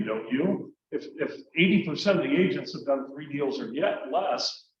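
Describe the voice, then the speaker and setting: A man's voice: medium pitch, slight background noise. A man giving motivational advice to real estate agents, using rhetorical questions. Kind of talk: motivational talk. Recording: very echoey room, muffled sound.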